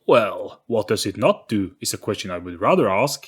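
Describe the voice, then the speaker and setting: A theatrical gentleman, acted by a man, proudly presents mysterious wonders. His delivery is grand and dramatic, lifted above a low natural register, with music running underneath the audio play. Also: very animated, moderate pace, slightly rough. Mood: insistent. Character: overly theatrical man showing off a mysterious device.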